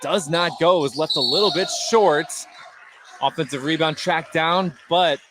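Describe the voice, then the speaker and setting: A man with a slightly high voice gives live basketball play-by-play. He follows the action in clipped, descriptive phrases. Clear gym noise and bouncing balls sit behind him. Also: a little slow. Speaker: play-by-play announcer. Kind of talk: live basketball radio commentary. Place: indoor basketball gym, courtside broadcast. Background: loud gym ambience, basketball bounces.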